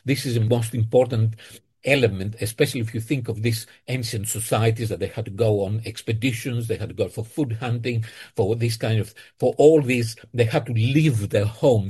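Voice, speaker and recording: A male professor giving a thoughtful interview comment, pausing often with fillers. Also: lowish voice, darkish tone, breathy edge.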